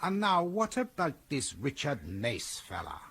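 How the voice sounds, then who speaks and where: A man playing an authoritative senior police officer in a radio drama, measured in manner. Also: medium pitch, wildly swooping pitch, a bit bright, husky, exaggerated voice. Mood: concerned authority. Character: brisk, authoritative male senior police chief.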